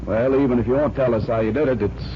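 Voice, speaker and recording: A man's voice: medium pitch, quick pace, natural voice. An American man playing a curious, good-natured friend who asks eager questions, in 1940s radio drama style. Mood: good-humored. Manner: inquisitive, good-humored. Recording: muffled, hissy 1940s radio recording.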